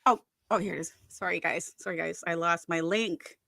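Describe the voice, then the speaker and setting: A woman from Wisconsin with a Wisconsin accent, co-hosting a casual football chat, chatty and quick to laugh. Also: on the low side, fairly even intonation, creaky, pushed and bright, dry recording, studio quality. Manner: chatty, laughs often, many fillers. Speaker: podcast co-host.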